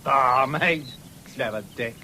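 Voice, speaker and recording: A man's voice: mid-range voice, slow pace, sharp tone. An actor playing a young horseman, blunt and mocking, in a radio drama. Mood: defiant. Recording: hissy 1970s radio drama recording.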